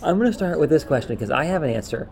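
A man's voice: fairly high pitch, animated, racing, vocal fry, a little mellow, slight background noise. A man co-hosting a podcast, talking in a casual, conversational way. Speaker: podcast co-host. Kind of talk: casual chat.

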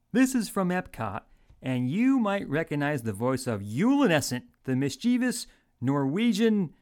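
Male radio host, fairly high voice, very animated intonation, old-time showman announcing, speaks straight to listeners. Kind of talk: old-time radio game show hosting.

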